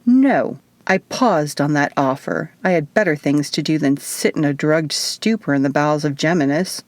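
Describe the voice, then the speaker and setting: A woman's voice: low-pitched, normal speed, a little mellow, no room sound, close to an everyday voice. A woman reading as a proud, sardonic wounded man in an audiobook, sneering and easily irritated. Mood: defensive sneering. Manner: sneering, grumbling.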